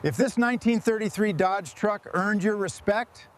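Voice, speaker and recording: A man's voice: high voice, moderate pace, faint music behind. A man hosting a restoration video, giving hands-on workshop commentary.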